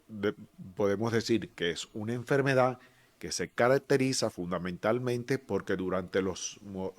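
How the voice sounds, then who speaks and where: A Cuban man talks through a medical explanation in a lowish voice. He is patient and methodical. He fills pauses with frequent hesitations and often restarts his sentences. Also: a little mellow. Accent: Cuban Spanish. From Cuba. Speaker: physician guest. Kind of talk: explanatory medical podcast talk.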